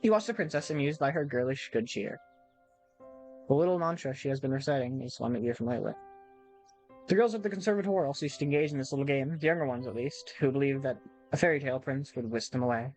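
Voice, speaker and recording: Casting: amateur game narrator, mid pitch, expressive storybook reading with offhand asides, music underneath. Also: fast, poor audio.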